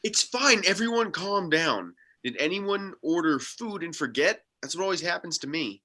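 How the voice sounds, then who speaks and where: A man's voice: a little high, animated, moderate pace, pushed and bright. A man playing a jittery fellow in a script table read. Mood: anxious reassurance. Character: jittery man failing to keep everyone calm.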